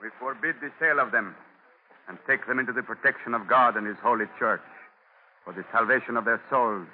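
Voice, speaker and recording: A man's voice: medium pitch, fairly quick, lightly acted. An actor in a 1940s radio drama playing a righteous friar, solemn and commanding as he preaches. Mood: stern, solemn. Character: stern, righteous Spanish-port friar defending captives. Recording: muffled, noisy old 1940s radio broadcast.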